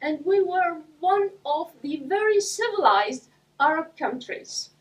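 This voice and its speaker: A woman from Iraq giving a prepared speech to a club audience, speaking slowly and deliberately with pauses between phrases. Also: very high voice, animated. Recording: voice from a distance.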